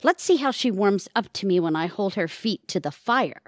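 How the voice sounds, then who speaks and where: Woman as a lively, sharp-tongued scheming wife. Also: mid-range voice, moderate pace, dry recording, clean studio sound, lightly acted. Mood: indignant. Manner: arch, exasperated. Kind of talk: comedic audio theatre acting.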